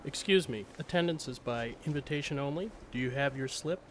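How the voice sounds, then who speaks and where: A man acts an officious male doorman in an audio story. He is curt and formal, medium in pitch, with clear ambient noise around him. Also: brisk, lightly acted. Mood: firm, officious. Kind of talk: audio drama acting.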